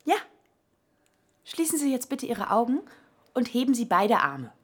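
An actress playing a brisk, no-nonsense emergency doctor in a radio drama, giving direct instructions in a husky voice. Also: female voice, on the high side, sing-song, fairly bright tone, full character voice. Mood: instructing.

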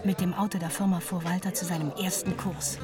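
A woman's voice: fairly low pitch, fairly even intonation, brisk, natural voice. An actress playing an earnest, proud middle-aged mother reading out a formally worded letter to her son in a radio drama. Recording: slightly distant, loud guitar music behind.

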